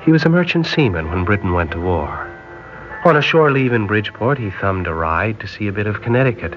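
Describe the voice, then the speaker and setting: A man's voice: on the low side, expressive, fairly quick, fairly bright tone. An actor playing a polite, hesitant nineteen-year-old seaman from Northern Ireland in an old radio drama. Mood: fond reminiscing. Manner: polite, hesitant, formal British phrasing. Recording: dull old radio recording, music behind.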